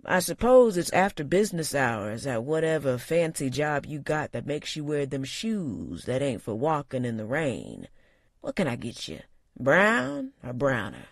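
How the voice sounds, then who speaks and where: A narrator voicing a gruff older male bartender with a raspy, growling voice and a folksy drawl. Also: mid-range voice, sing-song, measured pace, no echo, studio quality, heavily acted. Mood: teasing. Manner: folksy drawl, loud and blustery.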